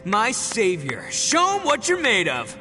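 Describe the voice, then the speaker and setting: An actor playing a brash, boastful young male oni gang boss who talks in a loud, slangy, swaggering way. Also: sits high, huge pitch swings, a little slow, exaggerated voice. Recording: game audio, reverb and music. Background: game music.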